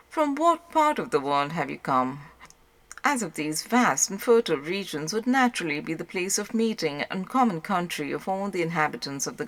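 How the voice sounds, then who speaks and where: A woman reading a literary audiobook as narrator, in a measured, formal way. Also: lowish voice.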